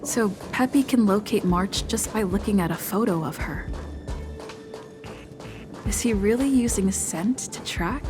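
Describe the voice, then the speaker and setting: A woman's voice: mid-range voice, measured pace, long pauses, natural voice. An actress playing a curious, level-headed young woman traveler in a video game. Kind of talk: game voice acting. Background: game music.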